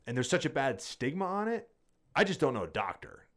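Animated man in casual, rambling chat. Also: mid-range voice, a little fast. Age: 30s.

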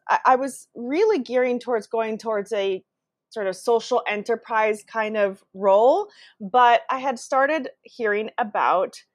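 A woman raised in the US and born in Brazil, a guest answering interview questions thoughtfully, with frequent pauses and restarts. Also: on the high side, dry recording, clean studio sound.

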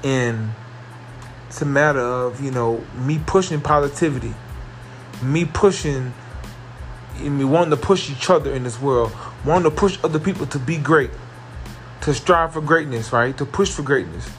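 A man hosting his own podcast, talking earnestly and repetitively about positivity, with a carrying voice that rises into excited emphasis. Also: medium pitch, normal speed, soft background music. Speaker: podcast host. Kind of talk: motivational solo podcast monologue.

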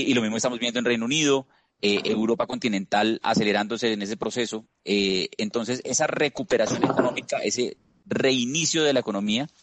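A Colombian man giving a measured market analysis as an interview guest, with frequent "eh" fillers and restarts. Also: lowish voice. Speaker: investment strategist guest.

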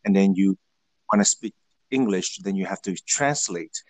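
A man whose first language is Vietnamese, answering interview questions in a halting way with many fillers and restarts. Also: deep voice, darkish tone, no room sound. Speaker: guest.

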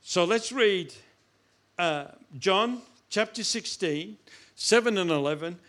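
A middle-aged man preaching in a loose, talky way. His voice sits a little high for a man. He restarts phrases, repeats himself for emphasis and drops in self-mocking jokes between scripture readings. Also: animated, takes it slowly, fairly bright tone. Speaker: pastor. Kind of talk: sermon with Bible reading.

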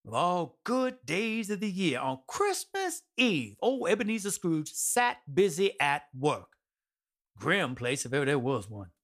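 A man's voice: fairly high pitch, big pitch swings, measured pace, fairly bright tone, full character voice. A male actor playing a wry, irreverent storyteller in a radio drama, colloquial and folksy, addressing listeners directly. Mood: wry, conversational.

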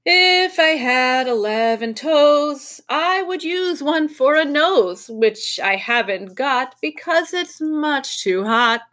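A woman acts out a silly, pear-shaped male creature from a children's storybook. He is mournful and absent-minded, drawing his words out slowly in a high, dopey sing-song. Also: animated, fairly bright tone.

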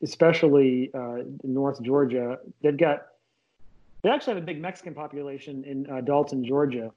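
A man in his late thirties, born in Lebanon, talks through his story as an interview guest. His pitch sits in the middle range, and he rambles, restarting sentences and correcting himself as he goes. The audio is slightly dull and lo-fi. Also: normal speed. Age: late 30s. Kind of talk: casual conversational interview.

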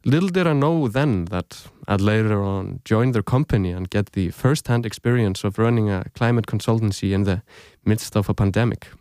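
The host you would hear in earbuds on a thoughtful podcast: a young Icelandic man, native in Icelandic, telling his story at a measured pace in a dry, echo-free booth. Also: on the low side, darkish tone, smooth. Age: young adult. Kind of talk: scripted podcast narration.

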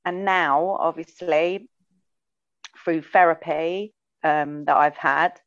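A woman guest on a podcast sharing her personal story. She speaks softly and hesitantly, with frequent ums. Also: sits low, fairly even intonation, slow, no room sound, muffled recording.

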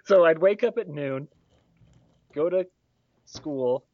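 A man's voice: a little high, slow, no room sound, muffled recording. A man in his forties telling stories from his student days and asking questions, often laughing mid-sentence. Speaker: podcast co-host asking questions. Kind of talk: casual chat.

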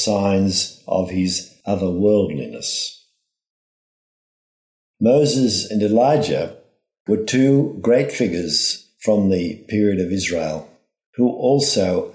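A low-voiced man gives a reflective scripted homily. He speaks very slowly and formally, setting down each phrase with care, in a roomy, echoing space. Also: darkish tone. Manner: deliberate, phrase-by-phrase delivery, formal. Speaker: priest giving a reflection.

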